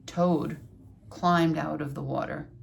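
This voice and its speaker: Older woman, lowish pitch, slow, deliberate, teacherly, children's read-aloud. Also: steady tone, creaky, a little hiss or hum. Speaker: retired schoolteacher reading a children's book aloud.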